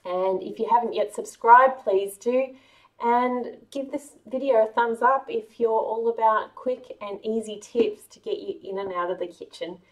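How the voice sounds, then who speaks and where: Middle-aged Australian woman, upbeat cooking-vlog host, higher voice, camera mic at a distance. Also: unhurried. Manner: cheerful, chatty, enthusiastic. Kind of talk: casual kitchen vlog. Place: large home kitchen. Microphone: camera a few meters away.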